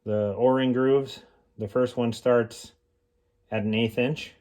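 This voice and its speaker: A man with a medium-pitched voice walks through a hands-on tool demonstration. He speaks informally and without a script, pausing and thinking aloud as he works out each explanation. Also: fairly even intonation, a little mellow. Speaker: hobbyist mechanic presenting a homemade tool demonstration. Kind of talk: casual unscripted how-to explanation.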